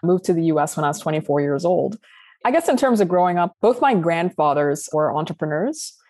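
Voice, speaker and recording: Lively laughing woman guest, low dry voice. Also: a little fast, no echo. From Sudan. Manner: animated, laughs often, frequent "like" and restarts. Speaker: guest founder. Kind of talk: casual interview chat.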